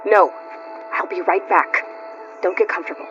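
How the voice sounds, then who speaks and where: A woman's voice: on the low side, normal speed, natural voice. Guarded young heroine, acted by a woman, muffled game audio. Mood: firm. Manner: terse, earnest. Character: determined, guarded young woman warrior hero. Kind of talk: cinematic video game acting. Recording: dull lo-fi audio with music behind.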